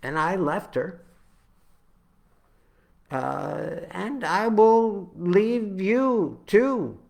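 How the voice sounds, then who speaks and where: A man reading his own spoken-word poem, with halting, deliberate phrasing that moves between dramatic swells and hushed drops. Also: high voice, lively intonation, creaky.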